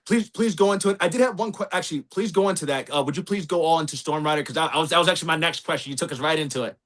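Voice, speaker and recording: Quick, eager male podcast host. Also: fairly high pitch, fairly bright tone. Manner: quick and eager, repeats words. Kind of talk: casual interview talk.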